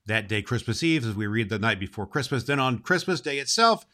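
Man playing bright, kindly old Santa Claus. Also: medium pitch, animated, a little fast. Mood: cheerful, affectionate. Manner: emphatic, affectionate, addressing boys and girls. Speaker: storybook reader and show host. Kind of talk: children's story reading and hosting.

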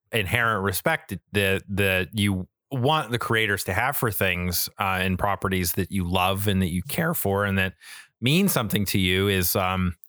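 A man's voice: sits low, fairly bright tone, dry recording, studio quality. A man co-hosting a podcast, chatting casually and informally with emphatic repetition. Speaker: podcast co-host. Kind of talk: casual discussion chat.

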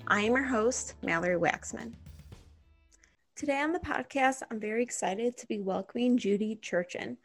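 A woman's voice: on the high side, moderate pace, faint music behind. A woman hosting a podcast, reading a scripted introduction and then asking long, earnest interview questions. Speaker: podcast host.

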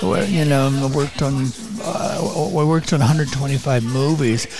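An older man, a guest photographer in a casual interview, talking enthusiastically and rambling with a voice that is getting raspy. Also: medium pitch, a little slow, music in the background. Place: small bar.

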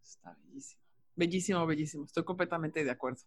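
A woman's voice: sits low, fast. A woman with a Mexican accent hosting a podcast interview in a conversational style.

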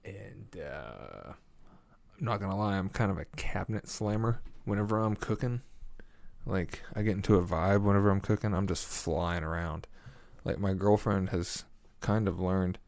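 A young man with a deep voice hosting a casual solo podcast, laid-back and swearing often, chuckling at his own stories. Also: moderate pace, gravelly, darkish tone, no echo. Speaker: podcast host. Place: small home room with foam on the walls. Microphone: studio mic at a desk.

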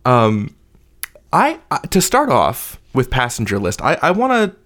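A man's voice: mid-range voice, wildly swooping pitch, a little slow, fairly bright tone. A man hosting a podcast, articulate and wordy, moving between scripted intros and conversational interviewing.

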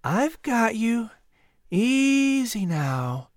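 Guy doing an excitable gentle kid, high swoopy voice, earnest, storybook. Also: moderate pace, no room sound, clean studio sound. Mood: soothing. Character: eager, gentle young boy, excitable animal lover.

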